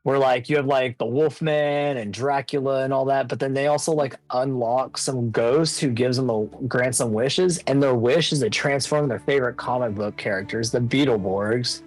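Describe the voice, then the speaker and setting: A man's voice: mid-range voice, normal speed, few pauses. A man playing a tabletop game, chatting casually in a rambling way peppered with "like". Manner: rambling, frequent "like". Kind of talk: casual chat among players.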